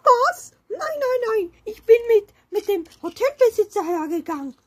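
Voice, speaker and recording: A fussy society lady squeals in a piercing high voice, as a woman puts her on. She sounds flustered and indignant, her pitch leaping all over, in a homemade toy-car story. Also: big pitch swings, moderate pace. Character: shrill fussy lady of society, easily upset.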